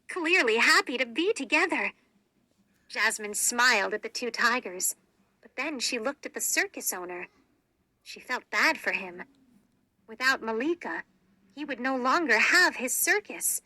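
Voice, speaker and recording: Female storybook narrator, children's read-along, expressive, slow deliberate pacing, bright tone, dry sound. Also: sits high, no echo, poor audio.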